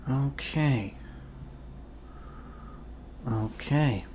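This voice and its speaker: A man plays a calm, quirky doctor in an ASMR role-play. He takes his time at an unhurried pace, with halting, reassuring phrasing and a mid-range pitch. There is noticeable background noise in the recording. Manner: halting, reassuring, trailing off with fillers and pauses. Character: calm, quirky male doctor treating a zombie bite. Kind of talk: ASMR role-play, improvised one-sided consultation.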